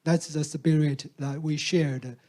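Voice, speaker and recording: A man, Mandarin speaker, answering on a discussion panel. His voice sits a little high but sounds dark and mellow, and he talks slowly. He pauses often, restarting sentences and filling gaps with "uh". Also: steady tone. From Taiwan. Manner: halting, many fillers and restarts. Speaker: panelist from a fact-checking group.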